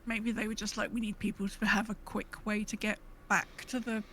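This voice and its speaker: A woman's voice: mid-range voice, flat delivery, moderate pace, a bit bright. A woman co-hosting a podcast in casual chat, with some noise behind her. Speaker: podcast co-host.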